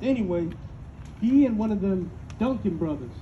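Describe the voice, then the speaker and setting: A man's voice: high voice, lively intonation, moderate pace. A man playing an earnest enslaved Southern boy in a live dramatic reading, speaking in folksy dialect. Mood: earnest recounting. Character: earnest enslaved Southern boy who can read a little. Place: outdoor stage in open air. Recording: muffled, noisy outdoor audience recording.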